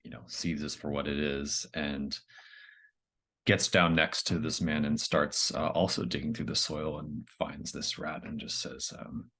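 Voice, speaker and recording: A man playing a tabletop game, narrating his character's actions in a measured, thoughtful way. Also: rumbling low, little pitch movement, creaky, pushed and bright. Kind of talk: improvised third-person roleplay narration.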